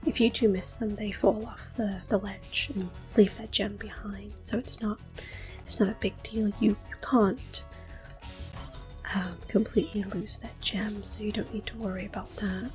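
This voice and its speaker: A woman giving gentle, unhurried ASMR game commentary in a soft, breathy voice that often drops to a whisper. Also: medium pitch, little pitch movement. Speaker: gameplay host. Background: video game music.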